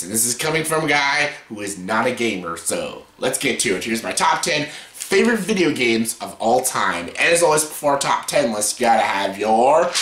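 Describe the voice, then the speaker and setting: A man in his late twenties with a scratchy yet bright, forceful voice, talking loosely and profanely through a casual countdown. His delivery is rambling and full of fillers. The room around him gives a noticeable echo. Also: medium pitch. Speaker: vlogger. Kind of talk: casual top-ten countdown. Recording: roomy echo.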